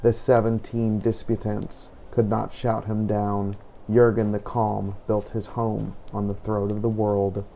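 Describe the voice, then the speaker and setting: A man reads aloud for a game playthrough in a low, mellow voice. His pace is slow and solemn, with pauses, and his pitch stays fairly even. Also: creaky, slight background noise, poor audio. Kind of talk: role-play read-aloud in a game playthrough.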